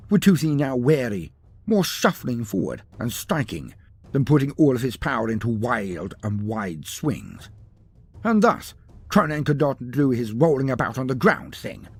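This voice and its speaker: A man delivering dramatic scripted narration of a fantasy battle story, theatrical, with deliberate pauses and emphasis. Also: mid-range voice, breathy edge.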